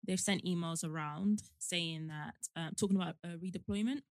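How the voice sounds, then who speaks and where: A woman's voice: lowish voice, normal speed, no room sound, studio quality. A woman in her twenties chatting casually as a podcast co-host. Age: 20s. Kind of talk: casual group chat.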